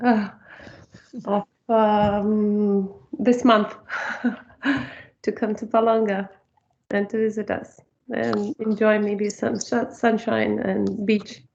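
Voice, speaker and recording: A woman's voice: mid-range voice, flat delivery, slow pace, vocal fry, long pauses, darkish tone. A woman briefly introducing her resort hotel in an online meeting, speaking cheerfully. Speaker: hotel representative. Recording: dull lo-fi video-call audio.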